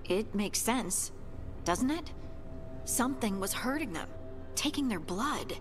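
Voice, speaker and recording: A woman's voice: medium pitch, big pitch swings, slow, faint music behind. A woman voicing an earnest, troubled young adventurer in a fantasy video game, intent and reflective.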